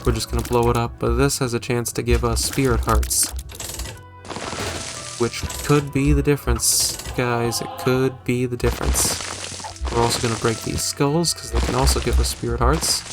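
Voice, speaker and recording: A man's voice: medium pitch, steady tone, measured pace, music in the background. A man hosting a gaming video with casual, joking, self-deprecating commentary and some mild swearing.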